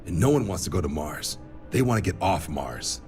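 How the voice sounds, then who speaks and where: An actor playing an easygoing, wry military recruiter officer in a video game, talking in a casual, colloquial way. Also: male voice, low-pitched, very animated, moderate pace, darkish tone, faint music behind. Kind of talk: video game cutscene acting.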